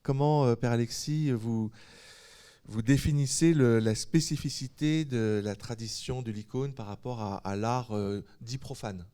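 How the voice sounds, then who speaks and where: Clear-voiced man moderating, mellow tone. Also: mid-range voice, a little slow. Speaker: host and moderator of a panel discussion. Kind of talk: moderated discussion, thoughtful questioning.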